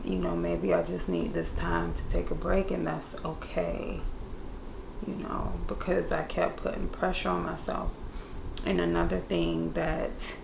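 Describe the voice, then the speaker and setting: A young woman doing a makeup tutorial in casual chat, laid-back and monotone by her own account, with playful slangy asides to viewers. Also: lowish voice, a little slow, vocal fry, echoey room, background noise. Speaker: beauty vlogger. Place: room indoors. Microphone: camera mic.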